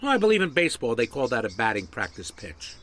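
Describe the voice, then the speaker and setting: An actor playing a probing, skeptical man who speaks in a knowing, pointed, measured way in an audio drama. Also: medium pitch, sing-song, fairly bright tone, a little hiss or hum.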